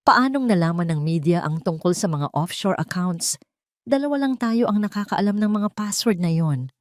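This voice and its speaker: A woman's voice: lowish voice, animated, normal speed, dry recording, studio quality, natural voice. A woman voicing a paranoid, scheming rich wife in an audio drama, speaking in a dramatic, accusing way. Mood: panicked, accusing.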